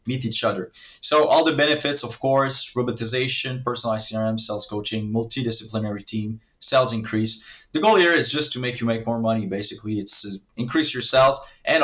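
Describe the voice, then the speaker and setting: French Canadian man, mid pitch, halting sales pitch over dull lo-fi audio. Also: sharp tone. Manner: hesitant, many fillers and restarts. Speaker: marketing agency owner pitching services.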